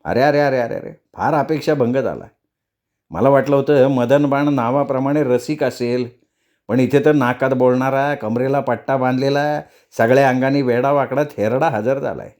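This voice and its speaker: A man acting nosy freeloading neighbour, greedy, wheedling, muttering asides, mid-pitched, comic storytelling. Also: normal speed, darkish tone, lightly acted. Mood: disappointed.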